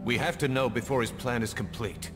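An actor playing a stern, embittered middle-aged military commander in a video game, speaking in a clipped, urgent way. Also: male voice, medium pitch, fairly quick, music in the background, lightly acted. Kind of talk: dramatic video game acting.

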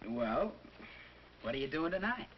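A man's voice: on the high side, very animated, unhurried, distant mic. A young man playing a casual, easygoing teenage boy in a 1940s instructional film. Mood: hopeful. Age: young adult. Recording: muffled, noisy 1940s film soundtrack.

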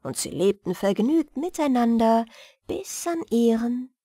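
A woman storyteller reading scripted bedtime fairy tales with expressive, careful phrasing. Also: a little high, measured pace, no echo, clean studio sound. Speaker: storyteller, audiobook reader.

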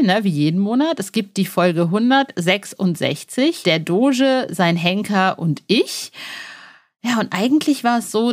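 Enthusiastic, chatty woman podcast host. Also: mid-range voice. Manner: informal and slangy, addressing listeners directly; enthusiastic, gushing. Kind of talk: casual, chatty podcast presenting.

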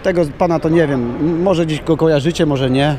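A hoarse man slowly walking through a big indoor exhibition hall, giving casual chuckling commentary for a video. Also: a little high, background noise. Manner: slow, rambling, chuckling asides. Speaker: vlogger touring a trade show.

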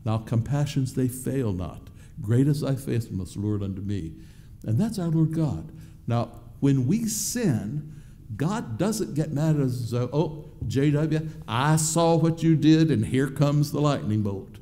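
Older preacher, very mellow voice. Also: male voice, medium pitch, animated. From Southern United States. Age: 60s. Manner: halting, deliberate, with repeated words and pauses. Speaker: pastor preaching. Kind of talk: sermon, explanatory. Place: church sanctuary, at the pulpit.

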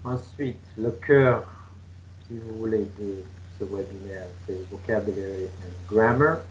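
An older man with a Canadian English accent talks slowly and carefully, explaining step by step like a patient coach, slipping English phrases into his French. His voice sits rather low. The sound is dull and lo-fi, like a headset on a video call. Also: slight background noise. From Canada (Toronto). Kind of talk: webinar presentation.

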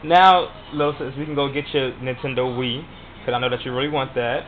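A man's voice: mid-range voice, steady tone, a little fast. A man making a prank phone call as a customer, insistently repeating himself and talking over the other person. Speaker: prank caller. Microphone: telephone call. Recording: tinny phone line with faint noise.

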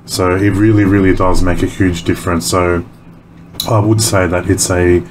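A man casually explaining his soap making in a kitchen, relaxed and chatty with many pauses and ums. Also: low voice, roomy sound, noisy background. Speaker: soap maker. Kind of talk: casual how-to narration.

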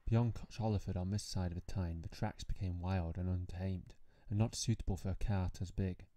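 A man's voice: sits low, fairly even intonation, moderate pace, dark tone, no echo. A man reading scripted story narration. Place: close, dry voice-over recording.

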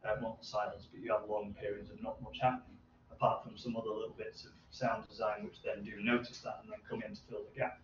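A man in his thirties answering questions at an informal technical seminar, haltingly thinking aloud. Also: lowish voice, flat delivery. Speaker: researcher presenting at a seminar. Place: medium seminar room with hard walls. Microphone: distant camera microphone. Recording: roomy, distant, muffled, noisy room recording.

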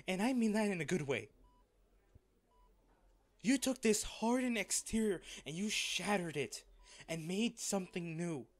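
A man acts out a rich, devoted man in anguish, his voice fairly high for a male. He speaks slowly and earnestly, with heavy pauses. It is an intimate audio drama performance full of feeling. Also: heavily acted. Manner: halting, emotional, earnest delivery with pauses. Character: wealthy man, devoted and distraught, confessing his love. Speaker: voice actor in audio roleplay.

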